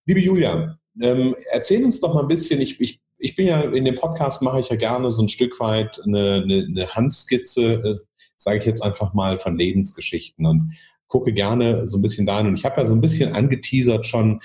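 A man's voice: on the low side, normal speed, a little mellow. A man hosting a podcast in a conversational way, repeating words as he feels for his phrasing, in an echoey recording. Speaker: podcast host. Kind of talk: conversational interviewing. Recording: roomy, echoey sound.

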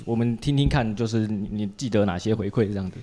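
A man's voice: lowish voice, fast talker, mellow. A man with a Taiwanese Mandarin accent hosting a casual podcast interview in a playful, teasing way. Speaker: podcast host.

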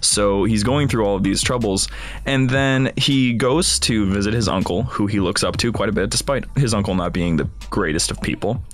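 A man's voice: on the low side, moderate pace, faint music behind. A man hosting a podcast, chatting informally and with amusement through a casual film recap. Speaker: podcast host.

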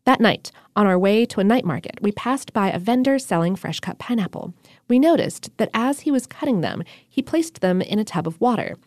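Woman podcast co-host, wry and deadpan, very dry close studio sound. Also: mid-range voice, no room sound. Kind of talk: casual chat and reading listener mail.

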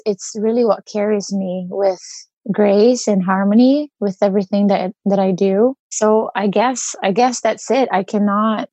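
A woman's voice: medium pitch, darkish tone, no room sound. A woman with a Brazilian accent, speaking earnestly as an interview guest and searching for her words as she reflects.

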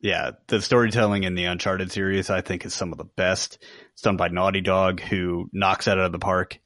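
Deep-voiced guy talking casually on a podcast, really dry sound, no room. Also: little pitch movement, moderate pace, fairly bright tone, no echo. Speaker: podcast co-host. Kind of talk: casual chat, opinions on games.

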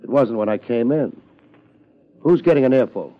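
An American actor playing a laid-back, wry, tough private detective in 1950s radio drama style, with dry wisecracks. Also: male voice, medium pitch, normal speed, lightly acted. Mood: cool, probing. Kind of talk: 1950s radio detective acting, narrating and in dialogue. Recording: dull vintage 1950s radio recording.

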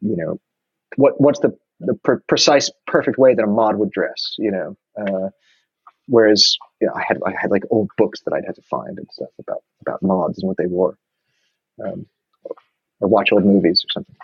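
A man's voice: lowish voice, normal speed, gravelly, husky, no room sound, highs cut off. A man, talking thoughtfully in a casual conversation with many restarts. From New York. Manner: reflective, many restarts and fillers.

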